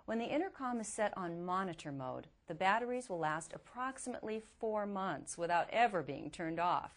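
A woman reporter narrating a scripted promotional product report. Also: medium pitch.

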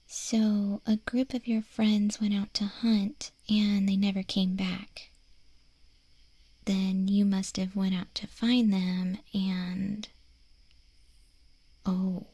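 A woman playing a friendly, curious young wolf girl in an ASMR roleplay, speaking softly and gently in a breathy, hesitant near-whisper. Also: medium pitch, no echo, heavily acted. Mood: sympathetic. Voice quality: soft, breathy, mostly whispered.

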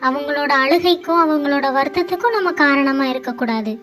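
A caring aunt, acted by a woman in a kids' moral cartoon, with a very high voice. She talks in a gently instructive, admonishing way over clearly audible music. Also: brisk. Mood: earnest, admonishing. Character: caring, admonishing aunt, a married elder sister. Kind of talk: children's moral story cartoon dialogue. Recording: music under the voice.

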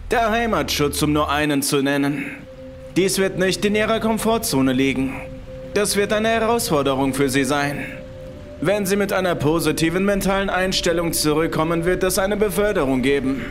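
A man's voice: high voice, pitched above the performer's own voice. A man voicing a tense, nervous male boss who speaks in a stern, urgent way in a horror audio story. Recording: music behind the voice.

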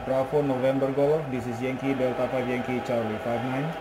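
A man's voice: medium pitch, flat delivery, brisk. Man, noisy radio operator. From Indonesia. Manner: clipped phonetic spelling. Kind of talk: radio callsign exchanges. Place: small indoor radio desk. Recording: loud radio static and noise. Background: radio noise.

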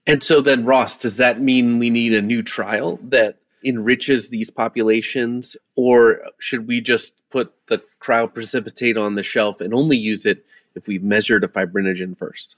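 A man's voice: medium pitch, steady tone, normal speed, no echo, studio quality. A man hosting a medical podcast interview, putting questions to researchers.

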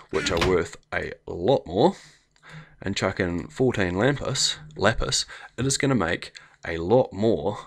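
A man with a New Zealand accent and a slightly croaky voice, chatting casually as he thinks aloud through a game. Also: low voice, fairly bright tone. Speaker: gameplay video host. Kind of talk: casual let's play commentary.

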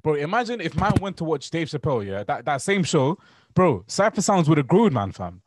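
A man's voice: a little high, very animated, a little fast, a little hiss or hum. A young man with a London accent, chatting casually and in slang as a podcast co-host. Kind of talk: casual chat. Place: indoors, remote video call.

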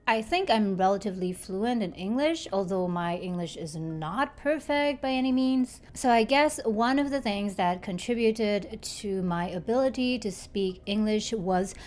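A woman in her thirties talks straight to camera at a desk mic in a bright living room. She is chatty and goes through her points step by step, with a middle-pitched voice. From Germany. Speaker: YouTuber. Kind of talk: casual talk to camera. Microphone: desk microphone in front.

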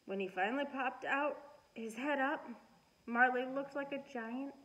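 A middle-aged woman reading a storybook aloud and chatting to children in an expressive, deliberate way. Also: high voice, muffled recording. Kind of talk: storybook read-aloud and casual chat to children. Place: living room at home.

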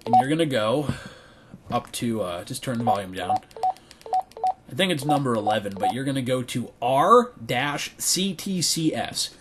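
A man giving a casual hands-on tutorial as a video creator, thinking aloud haltingly in a small bedroom. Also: medium pitch, animated, heavy background noise. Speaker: video creator demonstrating radio gear.

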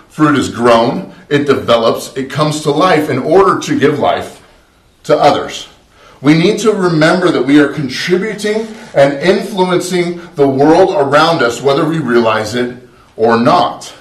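A middle-aged man preaching a sermon as pastor of a small church, earnest and conversational, often restarting words. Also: a little high. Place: small church sanctuary, indoors. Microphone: far camera, no visible mic. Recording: roomy echo from far off.

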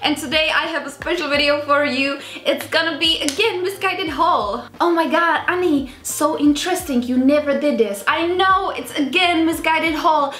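High-voiced young Czech-accented woman vlogger. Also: moderate pace, hardly any pauses, fairly bright tone. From Czech Republic. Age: 20s. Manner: chatty, rambling, self-mocking. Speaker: video blogger presenting a clothing haul. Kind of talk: casual chat to camera. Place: small indoor beauty room with vanity. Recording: roomy sound, music underneath.

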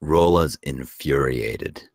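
A man's voice: rumbling low, slow pace, darkish tone, dry recording, clean studio sound. A man narrating the stage directions of a screenplay at a table read.

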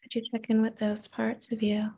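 A woman therapist leading a guided meditation in a gentle, unhurried manner, with pauses and open questions. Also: a little high, monotone, vocal fry, husky, muffled recording.

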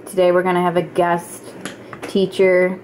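Woman playing a patient, halting male teacher, noisy recording. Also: on the low side, monotone, a little mellow, natural voice. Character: calm, hesitant male pro hero teacher directing students. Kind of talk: amateur puppet show parody voice acting.

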